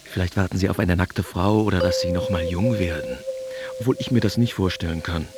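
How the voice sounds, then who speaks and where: An actor playing a weary, detached observer in a laconic first-person radio drama monologue. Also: male voice, low voice, a little fast, close to an everyday voice. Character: weary, detached man watching the world. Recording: loud music behind the voice.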